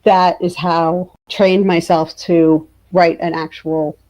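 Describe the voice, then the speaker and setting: A woman in a relaxed conversational interview, her voice a little on the low side. She tells stories in a wry, self-deprecating way. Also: slow pace, low-quality recording. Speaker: author, interview guest. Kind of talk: casual anecdotal chat.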